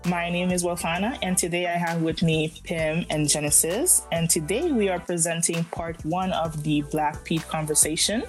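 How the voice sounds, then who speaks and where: A woman's voice: lowish voice, normal speed, hardly any pauses, faint music behind. A young woman from Aruba hosting a casual student podcast, chatty and friendly with many fillers. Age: young adult. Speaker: podcast host.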